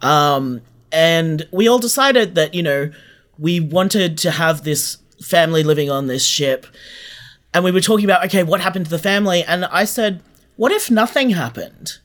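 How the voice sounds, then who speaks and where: Conversational Australian interviewee, medium pitch. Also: animated, normal speed, fairly bright tone. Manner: thinking aloud, conversational. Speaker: interviewed fiction podcast creator. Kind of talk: casual interview chat.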